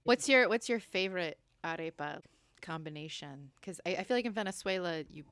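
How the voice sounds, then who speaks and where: Co-host, woman, very low voice, soft-spoken, dry close sound. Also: expressive, brisk, no echo. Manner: soft-spoken, brief backchannel replies. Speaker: podcast co-host. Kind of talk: casual interview chat.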